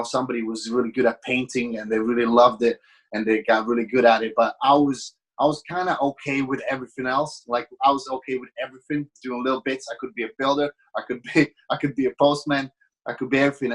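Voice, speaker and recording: A man of about thirty with a Latvian accent carrying a slight British tinge. He talks like a podcast guest, friendly and rambling, and often restarts his sentences. His voice sits at an ordinary middle pitch. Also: flat delivery, fast, poor audio. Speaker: podcast guest, business owner. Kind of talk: casual interview chat.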